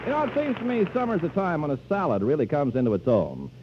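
A man's voice: on the high side, wildly swooping pitch, fast, background noise. A man announcing in the polished style of 1940s American radio. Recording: dull, muffled 1940s radio recording.

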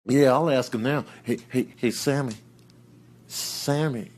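A man's voice: medium pitch, unhurried, a little mellow, voice pushed down, close to an everyday voice. A man voicing an eager animal who begs in a coaxing, stammering, repetitive way for a comic voice-over. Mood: wheedling. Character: eager, wheedling male animal begging for a taste.

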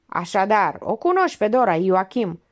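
A woman voicing a sharp, sarcastic older female amateur detective who needles a suspect with pointed, mocking remarks. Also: mid-range voice, very animated, highs cut off, lightly acted.

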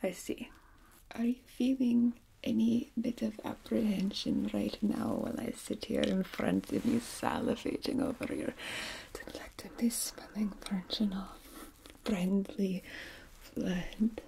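A woman playing a hungry, politely menacing vampire in an ASMR roleplay, with a creaky, breathy voice and probing manner. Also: mid-range voice, expressive, unhurried, warm tone, low-quality recording. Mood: hungry menace.

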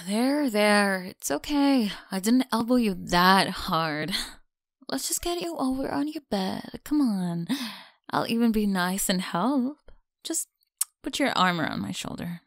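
A woman's voice: mid-range voice, animated, slow, dry recording, studio quality. A young woman with an American accent playing a mean, teasing college bully in an ASMR audio roleplay, mocking and slangy. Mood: mock sympathy, teasing. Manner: mocking, sighing, casual slangy talk. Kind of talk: ASMR audio roleplay, one-sided dialogue.

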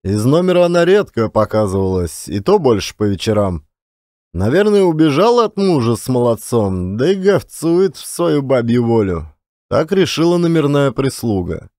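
Expressive male audiobook narrator, very animated pitch. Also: dry recording, studio quality. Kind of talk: expressive literary storytelling.

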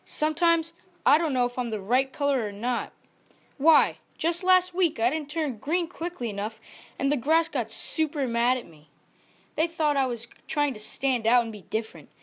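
Woman putting on a high-pitched, vain little male chameleon. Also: animated, moderate pace. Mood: fretful, confused. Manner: boastful, defensive. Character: vain, insecure, grouchy small male chameleon. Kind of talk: children's storybook character voice.

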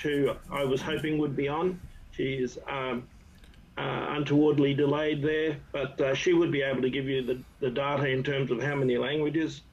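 A man introducing himself as a guest on a video call in a modest, hesitant manner. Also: a little high. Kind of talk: casual video call chat. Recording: dull video call audio with faint noise.

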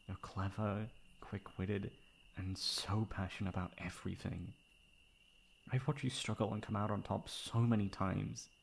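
A man playing a tender, flustered, gently teasing best friend in love, in an intimate, halting ASMR romance roleplay. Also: on the low side, creaky, slightly rough. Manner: soft, halting, affectionate.

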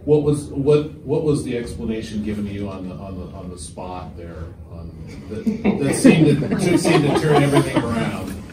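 A man, a reporter, asking halting questions at a press conference and restarting his sentences as he goes. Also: medium pitch, big pitch swings. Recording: distant, roomy, with room noise.

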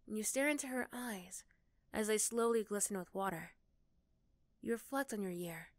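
A woman's voice: medium pitch, moderate pace, no room sound. A young woman narrating a second-person ASMR story as its narrator, close to the microphone. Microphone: close, dry microphone.

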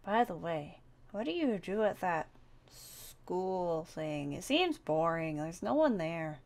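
A woman's voice: fairly low pitch, sing-song, takes it slowly, darkish tone, full character voice. A woman playing a blunt, curious young survivor in an improvised game roleplay, talking casually.

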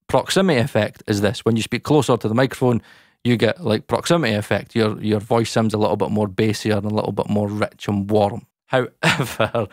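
A Scottish man in his thirties with a dark, boomy voice, chatting casually to camera about recording from his small home studio, talking close into a dynamic mic. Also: no echo. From Scotland. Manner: chatty, lots of fillers, talks with his hands. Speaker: YouTube audio educator. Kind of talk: casual explainer talk to camera. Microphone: large dynamic mic close in front.

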